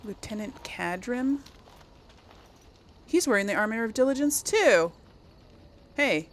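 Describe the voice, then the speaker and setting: A woman's voice: fairly high pitch, animated, takes it slowly, a little hiss or hum. A woman giving casual let's play commentary, chatting to viewers and thinking aloud as she goes. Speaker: gaming channel host.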